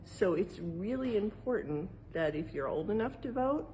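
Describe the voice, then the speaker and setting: A woman's voice: medium pitch, animated, moderate pace, mellow, slight background noise, low-quality recording. A woman with an American accent reading a picture book aloud and explaining it simply for young listeners as a museum educator. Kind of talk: read-aloud with explanatory asides.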